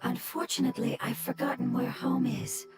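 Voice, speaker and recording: A woman voicing a small, shy, earnest fungus creature in a video game, with a halting, breathy, simple way of talking, over game music. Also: high voice, level tone, natural voice.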